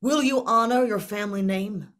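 A woman's voice: medium pitch, moderate pace, a bit bright, close to an everyday voice. An actress playing a defiant, anguished young woman in a Greek tragedy, reading dramatically on a video call. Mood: urgent, challenging. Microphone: computer mic on a video call.

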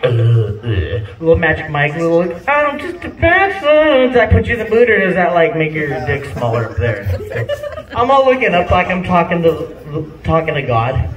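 A man's voice: a little high, huge pitch swings, normal speed, highs cut off, a crowd in the background. A man in his thirties doing rambling, self-deprecating stand-up at an open mic, laughing at his own jokes, on a handheld mic. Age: 30s. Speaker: stand-up comic. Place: small bar-like comedy venue indoors. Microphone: handheld mic, phone filming from the audience. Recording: roomy venue sound from a distant phone.

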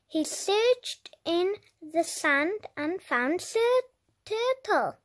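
Very high, childlike voice reading slowly. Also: fairly bright tone. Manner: slow, deliberate, dramatic emphasis. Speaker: children's storybook reader. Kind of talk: expressive read-aloud narration for young children.

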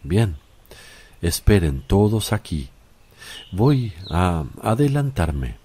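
An actor playing a gentle, calm male teacher in a religious radio drama, measured, solemn and reassuring. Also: deep voice, slight background noise, exaggerated voice. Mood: calm, firm.

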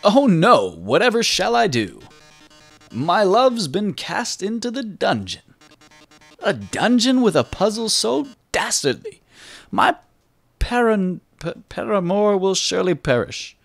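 A hammy robot showman, played by a man, melodramatic and gloating. His voice leaps through a wide pitch range, with soft game music behind. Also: big pitch swings, unhurried, gravelly, exaggerated voice. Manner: theatrical, melodramatic, mock-grieving. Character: hammy robot TV host, theatrical villain.

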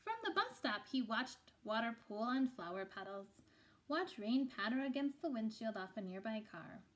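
A woman's voice: a little high, lively intonation, moderate pace. A woman in her thirties reading a children's story aloud indoors. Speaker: picture book reader. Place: small room indoors.

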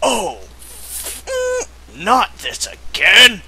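A young man voicing an excitable, melodramatically panicked male story hero, shouting and overwrought. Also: very high-pitched, drawn-out words, a little hiss or hum, pitched above the performer's own voice, full character voice. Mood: panicked, straining.

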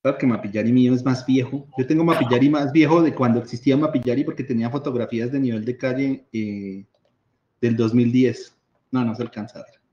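A man's voice: medium pitch, brisk, dark tone, slight background noise. A chatty man walks through his screen in a casual live demo, repeating small words and laughing easily. His voice carries a roomy echo, and the audio is a little muffled. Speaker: workshop presenter sharing his screen. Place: video call. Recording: echoey room, slightly dull audio.

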